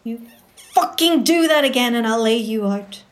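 A woman's voice: a little high, expressive, normal speed, natural voice. An actress playing an aggressive, foul-mouthed shopper in her forties who threatens and swears. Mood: furious. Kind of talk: amateur audio drama.

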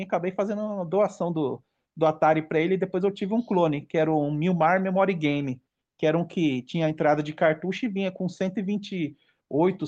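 A man's voice: on the high side, darkish tone, muffled recording. A man in his late thirties from Brazil, chatting casually on a podcast and rambling through a nostalgic list of old games. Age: 30s. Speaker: podcast participant. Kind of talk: casual chat.

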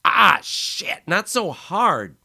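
A man voicing a young male hustler, his voice pushed high, strained and pleading. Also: sing-song, extremely slow, creaky, sharp tone, exaggerated voice. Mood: pained pleading.

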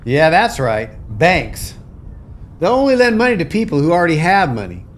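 Man acting as weary American rancher, slightly high voice, hugely varied intonation, plain-spoken, radio drama. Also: huge pitch swings, normal speed. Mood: bitter, resigned. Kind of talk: family radio play acting.

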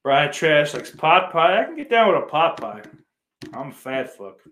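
A man's voice: on the high side, wildly swooping pitch, moderate pace, fairly bright tone. A man livestreaming in casual chat, rambling and swearing freely while reading out messages from his viewers. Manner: profane, rambling, self-deprecating. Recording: roomy echo.

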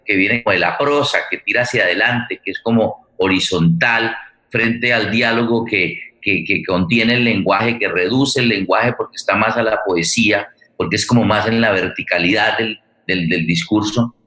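Bright-voiced man hosting, lowish pitch. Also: poor audio. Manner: halting, searching, repeats words. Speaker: forum host and writer. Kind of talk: reflective forum moderation.

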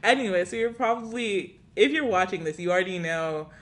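A woman's voice: mid-range voice, lively intonation, brisk, a bit bright. A bubbly, giggly woman co-hosting a casual podcast chat, rambling freely. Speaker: podcast co-host.

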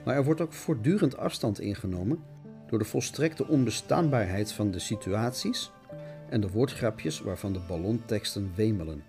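Theatrical male narrator with swooping pitch. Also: animated, normal speed, a little mellow, soft background music. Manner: melodramatic, mock-dramatic, declamatory. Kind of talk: theatrical scripted radio-essay narration.

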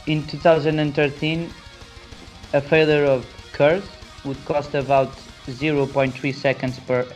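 A man with a Portuguese accent, a native Portuguese speaker, carefully reading a prepared technical explanation aloud with small hesitations. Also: mid-range voice.

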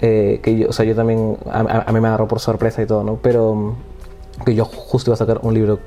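A man's voice: lowish voice, completely flat delivery, a little fast, dark tone, faint music behind. A man hosting a casual talk about poetry, speaking hesitantly with frequent restarts and tag questions. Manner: hesitant, many restarts and "¿no?" tags. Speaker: host.

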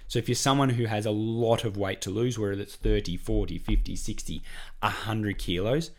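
A hoarse Australian man with a croaky voice from a cough, hosting a solo podcast as a weight-loss coach and talking in an encouraging, repetitive, chatty way. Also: on the low side, steady tone, normal speed.

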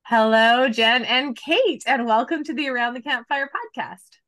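A woman's voice: on the high side, moderate pace, a bit bright. A woman hosting a podcast, with an upbeat, chatty manner, laughing often. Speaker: podcast host. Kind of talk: casual podcast interview.

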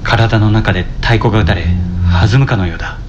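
A man playing a listless office worker who narrates his own story in a radio drama, in a measured, brooding monologue. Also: fairly low pitch, highs cut off, background noise, voice pushed down, close to an everyday voice. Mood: curious, tense.

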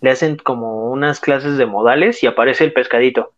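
A man with a Mexican accent, co-hosting a relaxed, slangy chat about old cartoons. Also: medium pitch, fairly quick, highs cut off. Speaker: podcast co-host.

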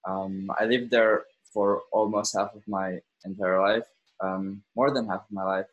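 A man's voice: lowish voice, a little slow, lo-fi sound. An eighteen-year-old man from Finland, a podcast guest talking casually with lots of ums and hesitations. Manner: relaxed, many ums and hesitations. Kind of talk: casual interview chat.

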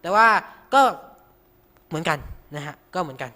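A man's voice: fairly high pitch, lively intonation, moderate pace, pushed and bright. A man hosting an informal tutorial, talking through each step with frequent polite particles. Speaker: radio show host.

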